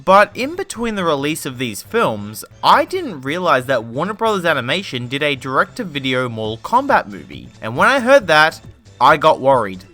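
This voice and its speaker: Man in his twenties, bright forceful voice, very animated pitch, emphatic casual delivery, online movie review. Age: 20s. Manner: emphatic, casual, swears freely. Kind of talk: semi-scripted film review commentary.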